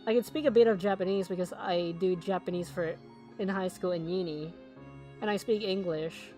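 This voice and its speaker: A woman's voice: fairly low pitch, fairly even intonation, normal speed, warm tone, soft background music. A young woman from the Philippines, a VTuber streamer, giving chatty, rambling asides during a game playthrough. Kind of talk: casual let's-play commentary.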